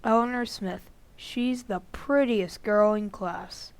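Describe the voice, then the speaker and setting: A woman's voice: medium pitch, takes it slowly, clear, resonant tone. A woman playing an earnest, sweet schoolboy son in an old-time radio sitcom. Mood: earnest, hopeful. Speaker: actor in community radio theater.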